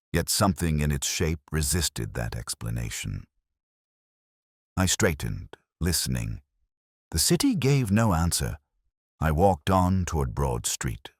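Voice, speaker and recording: A man plays a brooding, pedantic police inspector telling his story in an audio mystery drama. The voice is extremely deep, with a lot of movement in its melody. The delivery is measured and literary, recorded close and dry. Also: no room sound, full character voice. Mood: brooding, uneasy. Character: melancholy, pedantic male police inspector recounting an old case. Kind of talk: scripted first-person mystery narration.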